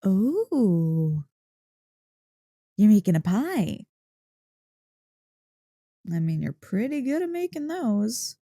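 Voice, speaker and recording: Woman doing an excitable dog-girl girlfriend for asmr, mellow n swoopy, slow playful teasing, super close dry mic. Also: mid-range voice, dry recording, exaggerated voice. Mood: excited, curious. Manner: playful, expressive, mock-indignant teasing. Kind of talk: ASMR roleplay, intimate one-sided dialogue. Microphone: close, dry microphone.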